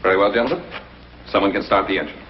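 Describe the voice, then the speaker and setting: Low-voiced guarded inventor, vintage TV male actor. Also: natural voice. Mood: guarded, confident. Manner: polite, deliberate, slyly confident. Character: guarded, self-assured male inventor selling a secret. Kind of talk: mid-century American television drama acting. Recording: dull, noisy vintage TV soundtrack.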